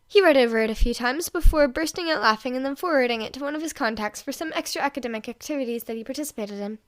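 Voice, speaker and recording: A woman narrates scripted fiction. Her voice sits fairly high, and she reads as a storyteller. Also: quick pace. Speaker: fan fiction reader and podfic host.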